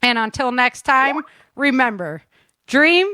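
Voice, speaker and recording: A woman's voice: sits high, animated, slow pace, pushed and bright, dry recording, studio quality. A woman hosting a podcast in casual, upbeat and informal chat. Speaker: podcast host.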